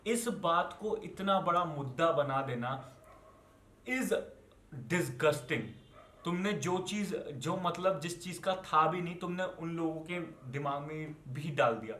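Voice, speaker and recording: Young male ranter, Indian accent, raised pitch, combative and sweary, fires questions at the listener, reverberant room sound. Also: takes it slowly, a bit bright. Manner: scolding, confrontational, swearing, rhetorical questions; switches between Hindi and English. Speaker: online commentator. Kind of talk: angry unscripted rant to viewers.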